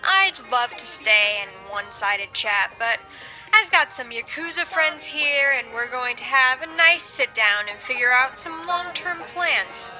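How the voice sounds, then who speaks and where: A woman's voice: high voice, animated, unhurried, pushed and bright, full character voice, poor audio. A woman playing a cocky female operative in an audio comedy drama, sly, teasing and sardonic. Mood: mocking, leisurely. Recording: music under the voice.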